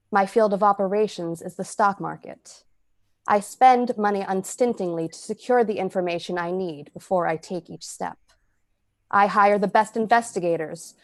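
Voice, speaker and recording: An actress playing a cold, confident rich woman near death, narrating her experiment formally and deliberately in old-time radio style. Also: medium pitch, no room sound, clean studio sound, close to an everyday voice.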